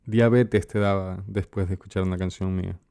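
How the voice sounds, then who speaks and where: A man's voice: sits low, steady tone, a little fast, gravelly, mellow, smooth, dry recording, clean studio sound. A man with an Ecuadorian Spanish accent hosting a casual, conversational interview. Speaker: interviewer, host.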